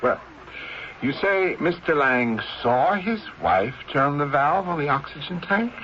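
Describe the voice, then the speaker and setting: An actor playing a puzzled, plodding police sergeant with halting questions in a 1970s radio mystery. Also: male voice, fairly high pitch, lively intonation, a bit bright. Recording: muffled, noisy 1970s radio recording.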